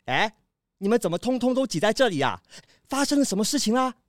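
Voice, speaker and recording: A woman voicing a breezy, excitable deliveryman character in a children's audio drama, chatty and exclamatory. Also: fairly high pitch, fast talker, no echo, clean studio sound. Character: breezy flour deliveryman Jack, easily startled.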